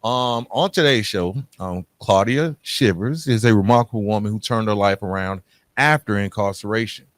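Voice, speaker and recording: A man's voice: lowish voice, moderate pace, no echo, clean studio sound. A man hosting an interview podcast, speaking in an informal and encouraging manner. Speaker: podcast host.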